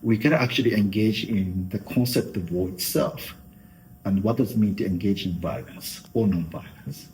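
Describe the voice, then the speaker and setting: A middle-aged Japanese man with a low voice, answering interview questions in a considered way and often pausing on "you know" as he works through his thoughts. His English carries a clear Japanese accent. Faint noise sits behind the recording. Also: a little mellow, poor audio. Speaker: guest.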